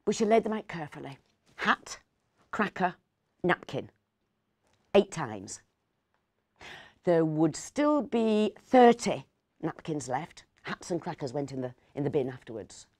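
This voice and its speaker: A woman's voice: low-pitched, sing-song, measured pace, long pauses, darkish tone, heavily acted. A middle-aged woman, playing a fussy, kindly, rambling Christmas dinner host in a storytelling monologue. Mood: musing, fretting. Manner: hesitant, digressive, self-correcting. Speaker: actor reading a story. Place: indoors, seated in an armchair.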